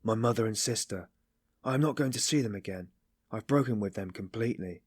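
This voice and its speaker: A man voicing a stern, desperate young man who speaks low with grim determination. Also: a little fast, breathy edge, no room sound, studio quality.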